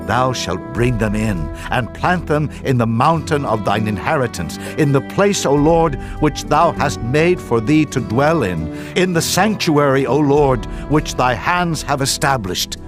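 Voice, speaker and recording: A man voicing an exultant, reverent prophet, declaiming praise in a dramatized scripture recitation. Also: mid-range voice. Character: Moses, exultant male prophet praising the Lord.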